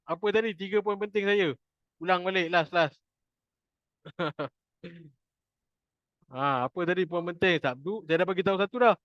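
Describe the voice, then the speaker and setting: Malaysian male Quran teacher, chatty and jokey, slightly high voice, dry lo-fi recording. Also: normal speed, long pauses, no room sound. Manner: chatty, jokey, repeats points for emphasis. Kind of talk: informal online class teaching.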